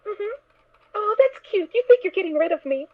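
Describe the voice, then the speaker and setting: A woman with an extremely high, girlish voice chats casually, like a voice actor among colleagues. Her line is tinny and phone-like, as on an online voice call. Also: animated, moderate pace. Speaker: voice actor and audiobook narrator. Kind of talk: casual chat.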